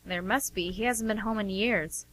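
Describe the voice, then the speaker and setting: A woman's voice: mid-range voice, normal speed, a bit bright, close to an everyday voice, poor audio. A woman playing a caring, easily offended sister, earnest and reproachful, in an amateur radio play. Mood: wistful, conversational.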